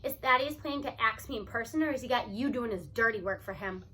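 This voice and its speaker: A woman's voice: a little high, animated, fast talker, sharp tone, slightly rough, pitched below the performer's own voice, exaggerated voice. A woman voicing a sardonic, put-upon middle-aged male schoolteacher in a storybook read-aloud, with a dry, emphatic manner. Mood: bitter.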